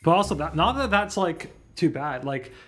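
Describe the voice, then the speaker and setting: A man's voice: a little high, animated, normal speed, fairly bright tone. A man doing casual livestream commentary as he reads a game, rambling and thinking aloud. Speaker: streamer reading a visual novel aloud. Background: faint game music.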